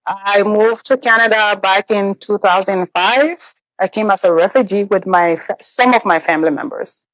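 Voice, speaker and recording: A woman from Liberia, a business owner, talking as a podcast guest with short, soft replies and easy laughter. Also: medium pitch, normal speed, no echo, poor audio. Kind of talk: casual interview chat.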